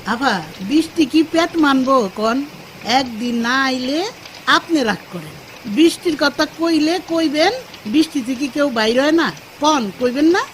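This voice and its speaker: A woman's voice: high voice, expressive, a little slow, slight background noise, poor audio. A woman acting a loyal, grateful housemaid with a rural Bangladeshi dialect in a radio drama, chatty and deferential. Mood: eager, grateful. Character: loyal, grateful working-class Bengali housemaid.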